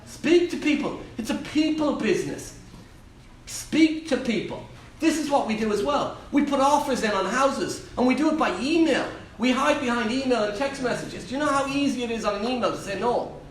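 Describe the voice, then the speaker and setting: A man's voice: extremely high pitch, normal speed. Emphatic Irish man in an echoey hall. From Ireland. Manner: emphatic, repeats key phrases. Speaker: property trainer on a Q&A panel. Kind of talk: live seminar Q&A answer. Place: live event room. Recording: echoey room, distant mic, faint noise.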